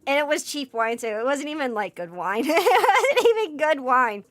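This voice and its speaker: A hoarse woman streamer in a casual livestream chat, rambling with lots of fillers and swearing. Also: high-pitched, sing-song, moderate pace, no room sound. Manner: rambling, filler-heavy and profane, breaks into laughter. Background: faint background music.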